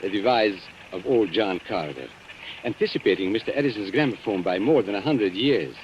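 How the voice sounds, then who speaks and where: Man acting a suave, low-voiced blackmailer, theatrical. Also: animated, a little fast, highs cut off, natural voice. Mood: suave, amused. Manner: smooth, formal, theatrical, mockingly courteous. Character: suave, cynical antique dealer and self-confessed blackmailer. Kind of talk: old time radio suspense acting. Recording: noisy vintage radio recording.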